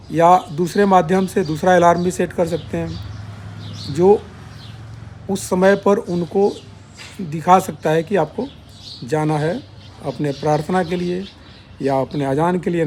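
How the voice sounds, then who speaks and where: Male explainer host, high pitch, measured and formal with broken phrasing; faint background noise and birds. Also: fairly even intonation, a little mellow. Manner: halting delivery in short broken phrases. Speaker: YouTube channel host. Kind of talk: spoken explainer, point by point.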